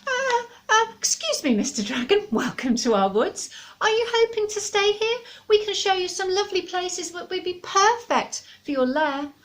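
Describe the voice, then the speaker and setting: A woman voicing a small, nervous male squirrel who stammers in a squeaky, hurried voice. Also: very animated, a bit bright, full character voice. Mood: nervous, pleading.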